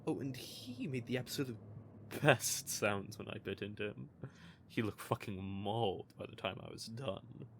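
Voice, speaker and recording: A man's voice: fairly low pitch, expressive, moderate pace, gravelly, a little hiss or hum. A man playing a smug, teasing male vampire who is playfully menacing, in an audio roleplay.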